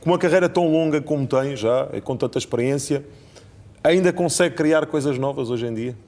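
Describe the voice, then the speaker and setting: A man's voice: a little high, fairly quick, darkish tone. A man with a European Portuguese accent, asking conversational questions as a sports interviewer. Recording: reverberant hall sound.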